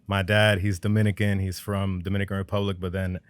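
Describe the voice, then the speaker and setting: A man from New Jersey in his thirties, a barber telling his story as a podcast guest in relaxed slang, often adding "you know what I mean". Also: low voice, fast talker, clean tone, no room sound. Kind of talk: casual storytelling.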